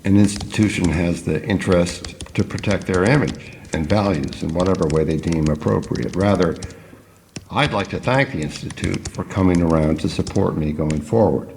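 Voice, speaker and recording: An actor playing an earnest neuroscientist who speaks in formal, careful, bookish wording in an audio drama. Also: male voice, sits low, moderate pace, a little mellow, big-room sound, a little hiss or hum. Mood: formal, reflective. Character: idealistic male neuroscientist, measured and earnest. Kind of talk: scripted audio drama narration and dialogue.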